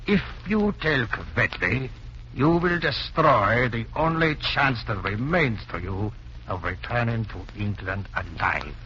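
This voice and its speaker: An actor playing a calculating Nazi agent who poses as a doctor, formal, measured and coolly menacing in a vintage radio drama. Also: male voice, mid-range voice, lively intonation, close to an everyday voice. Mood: cold threatening. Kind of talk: 1940s radio drama acting. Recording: muffled, noisy old radio recording.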